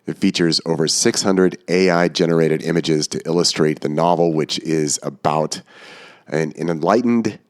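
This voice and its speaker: Very deep-voiced man, slightly congested. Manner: informal, chatty. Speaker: podcast host. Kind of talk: casual podcast monologue and interview hosting.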